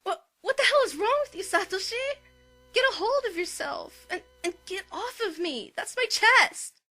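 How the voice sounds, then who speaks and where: A woman playing a bossy, hot-tempered teenage schoolgirl who scolds loudly, in an anime fan dub. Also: very high voice, animated, normal speed, faint music behind, full character voice. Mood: flustered anger. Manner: scolding, loud, exasperated. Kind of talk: anime fandub acting.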